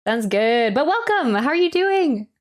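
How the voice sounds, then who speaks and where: A woman's voice: high voice, big pitch swings, measured pace. A woman streamer reacting casually and talking with her viewers in informal, slangy language. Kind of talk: casual livestream reaction chat.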